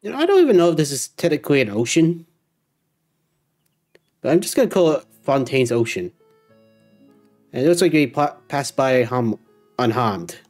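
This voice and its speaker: A woman's voice: rumbling low, sing-song, moderate pace, pauses often, darkish tone, soft background music. A woman game streamer giving casual commentary as she plays.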